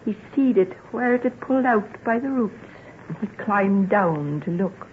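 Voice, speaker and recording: A woman's voice: mid-range voice, expressive, moderate pace, close to an everyday voice. An actress playing a plain-spoken, sorrowful woman in an old-time radio drama. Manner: earnest rustic dialect storytelling. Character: plain-spoken sorrowful country woman, devoted to her family. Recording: tinny, noisy old radio recording.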